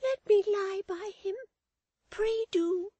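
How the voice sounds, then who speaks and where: A woman's voice: very high-pitched, steady tone, takes it slowly, mellow, no room sound, pitched above the performer's own voice. A woman voicing a timid, lonely little girl who begs earnestly and tearfully, in a storybook reading. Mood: earnest pleading. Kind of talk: dramatized Victorian novel reading.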